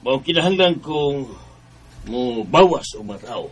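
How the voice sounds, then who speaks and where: A man's voice: mid-range voice, animated, measured pace, lightly acted. A man acting a businesslike, apologetic workplace boss in a radio drama, announcing formally with a Bisaya Filipino accent. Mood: formal, regretful.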